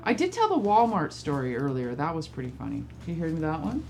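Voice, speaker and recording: A middle-aged woman casually telling comic anecdotes, acting out both sides of conversations in an animated way. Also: low-pitched, brisk. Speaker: guest storyteller, mother of one of the crew. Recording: clearly noisy room ambience.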